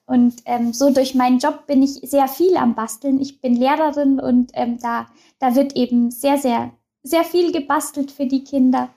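Chatty young woman with a high voice. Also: fairly even intonation, normal speed, dark tone. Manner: open, chatty, many fillers and restarts, laughs often. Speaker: interview guest. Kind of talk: casual personal interview.

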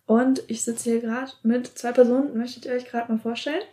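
Chatty young woman host, mellow voice. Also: a little high, fairly even intonation, fairly quick. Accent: German. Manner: chatty, laughs easily, asks friendly follow-up questions. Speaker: podcast host. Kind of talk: casual interview. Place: wooden hut at a seminar site. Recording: roomy echo.

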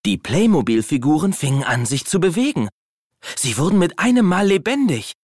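A man narrating a children's audio play in an expressive storytelling manner. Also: a little high, moderate pace, slightly rough, dry recording, clean studio sound.

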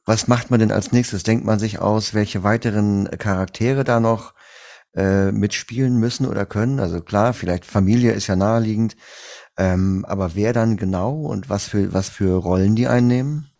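A man interviewing guests in a conversational, somewhat halting way. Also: on the low side, dark tone.

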